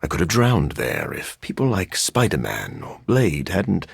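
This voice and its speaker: A man voicing a brooding, intellectual vampire scientist in a video game, formal and articulate with dry wit and a gravelly, husky voice. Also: sits low, big pitch swings, measured pace, no room sound, full character voice. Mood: brooding, earnest. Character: brooding, intellectual adult male vampire scientist, dryly witty. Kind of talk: video game character dialogue.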